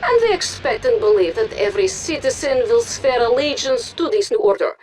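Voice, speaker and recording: An actress playing a composed, authoritarian Soviet propaganda news anchor in a formal, measured video game newscast. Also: female voice, on the high side, very animated, darkish tone, soft background music. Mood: stern, proud.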